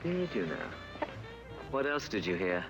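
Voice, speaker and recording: A man's voice: lowish voice, sing-song, a little slow, muffled recording. Dry, teasing composed man. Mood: wry. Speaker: actor in a TV crime drama. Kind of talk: 1970s TV drama acting. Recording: old TV clip, distant, with music.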